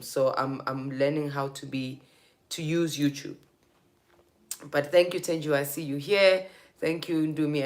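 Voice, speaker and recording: A South African woman teaching informally on a livestream as a spiritual healer, speaking earnestly in deliberate, repeated phrases. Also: low-pitched.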